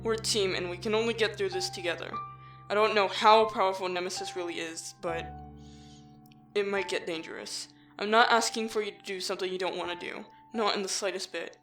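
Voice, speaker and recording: A woman playing a calm, determined young human leader in an audio drama, measured, sincere and reassuring. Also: medium pitch, far from the mic, faint music behind, close to an everyday voice. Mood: earnest, resolute. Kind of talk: amateur audio drama acting.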